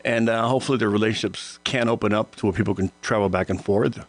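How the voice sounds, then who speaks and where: Guest, man in his fifties from Cuba, fairly low voice, laid-back and chuckling, trips over his openings. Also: quick pace, no room sound. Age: 50s. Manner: relaxed, stammers on starts, laughs easily. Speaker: radio guest. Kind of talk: casual radio interview.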